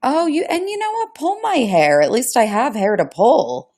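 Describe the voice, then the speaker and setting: A woman co-hosting a casual podcast, telling stories in a blunt, animated, profane way. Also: fairly high pitch, normal speed. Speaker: podcast co-host. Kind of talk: casual conversational podcast chat.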